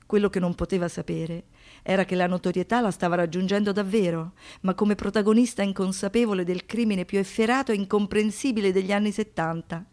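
A woman performing as a narrator in radio theatre, with deliberate, literary phrasing and dry irony. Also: medium pitch, fairly even intonation, darkish tone, natural voice. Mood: grave, ironic. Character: woman narrator recalling seventies youth and crime.

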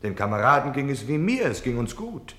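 A man's voice: medium pitch, big pitch swings, fairly quick, pushed and bright, echoey room. A man playing a defensive, self-pitying Nazi official on wartime leave in a German radio play, justifying himself between subdued confession and indignant outbursts. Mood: confessional, self-pitying.

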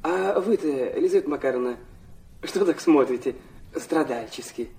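A man's voice: a little high, lively intonation, normal speed, vocal fry, warm tone, husky, heavily acted. An actor playing a hot-tempered, tormented young student who acts out and narrates his confessions in a literary radio play. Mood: sarcastic, needling. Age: young adult. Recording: studio radio drama with music behind.

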